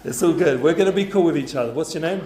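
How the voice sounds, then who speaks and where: A man's voice: fairly high pitch, racing, mellow, soft background music. A man, talking casually to a youth group with lots of fillers and asides. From North London, England. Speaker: guest speaker for students. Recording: roomy echoey room.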